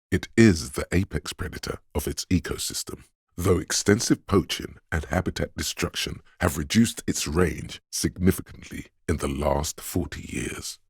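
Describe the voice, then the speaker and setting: Game narrator: a know-it-all voice of knowledge, pedantic and measured, gravelly and very raspy, recorded close and dry. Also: male voice, extremely low pitch, dry recording, exaggerated voice. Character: male inner voice of encyclopedic knowledge, erudite and smug. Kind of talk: scripted video game narration.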